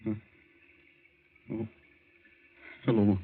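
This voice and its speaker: Husky, troubled younger doctor. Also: male voice, lowish voice, level tone, takes it slowly, heavily acted. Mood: shaken, subdued. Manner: earnest, hesitant. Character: troubled younger male doctor, earnest and shaken. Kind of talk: old-time radio drama acting. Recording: muffled old radio recording.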